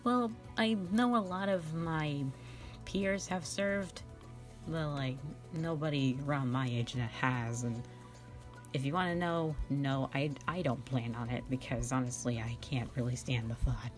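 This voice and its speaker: A woman's voice: very deep voice, measured pace, soft background music. A young woman hosting a casual question-and-answer chat, giggly, rambling and playfully self-mocking. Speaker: online channel host and amateur voice actor.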